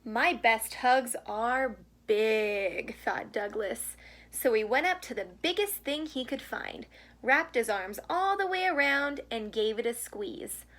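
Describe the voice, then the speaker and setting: A woman reading a picture book aloud to children in an expressive storytelling style. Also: high-pitched, measured pace. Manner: asks the young listeners questions. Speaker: storybook reader.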